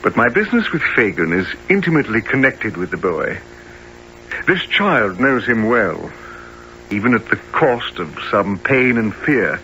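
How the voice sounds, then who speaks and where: An actor playing a respectable gentleman in a radio play, formal, earnest and insistent. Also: lowish voice, sing-song, a little slow, dull audio, a little hiss or hum, full character voice. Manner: formal, urgent.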